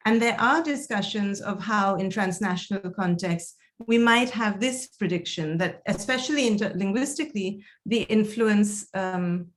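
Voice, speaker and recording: A woman lecturer giving an academic talk, explaining in a measured way with frequent ums and uhs. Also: medium pitch.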